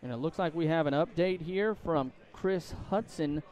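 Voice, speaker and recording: A man doing live play-by-play commentary of a high school football game. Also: normal speed, darkish tone, highs cut off. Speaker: play-by-play announcer.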